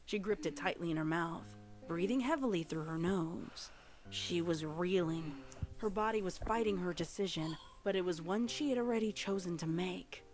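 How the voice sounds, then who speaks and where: Woman, lower register, dramatic fiction narration, scripted reading, background music. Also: normal speed, darkish tone. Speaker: audiobook-style story narrator. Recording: music playing under the narration.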